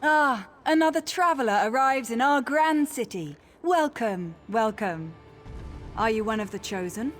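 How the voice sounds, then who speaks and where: Female orc high priestess, video game, slightly high voice, formal, gracious, earnest, music behind. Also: lively intonation, unhurried. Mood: gracious, welcoming. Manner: formal, stately, welcoming. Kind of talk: video game character acting.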